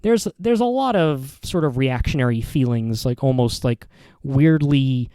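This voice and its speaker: A man hosting a podcast, giving casual opinion commentary in a halting, rambling way with repeats and self-corrections. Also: mid-range voice, lively intonation, mellow, clean tone, no echo, clean studio sound. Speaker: podcast host.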